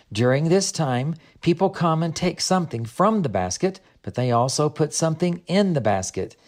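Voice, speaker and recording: A man's voice: fairly high pitch, darkish tone. A man voicing a friendly woman guide in an audiobook story, patiently explaining things. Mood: patient, instructive. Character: Greta, friendly woman guide explaining her land's customs. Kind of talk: audiobook character dialogue.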